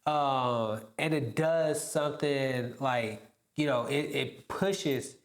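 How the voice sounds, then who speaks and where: A man in his twenties hosting a casual podcast chat. He talks in an animated way, laughs often and keeps saying "bro.". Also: medium pitch, slow pace, reverberant. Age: 20s. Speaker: podcast host. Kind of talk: casual conversation.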